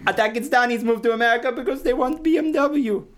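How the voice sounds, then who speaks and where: A man's voice: extremely high pitch, fairly quick, a bit bright. A man playing a brash immigrant stand-up comic in a comic radio play, delivering punchline patter in broken English. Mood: brash, jokey. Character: brash male immigrant stand-up comic, parody of a Russian comedian.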